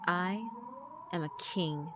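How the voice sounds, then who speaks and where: A woman's voice: lowish voice, fairly even intonation, takes it slowly, very noisy. A woman voicing an arrogant teenage boy bully who calls himself a king, cold and boastful. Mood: gloating. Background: background music.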